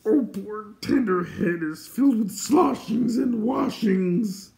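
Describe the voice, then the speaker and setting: A man's voice: high-pitched, lively intonation, takes it slowly, vocal fry, darkish tone, pitched above the performer's own voice, full character voice. A man voicing a hairy, sputtering storybook creature that whimpers in self-pitying baby talk. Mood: woeful.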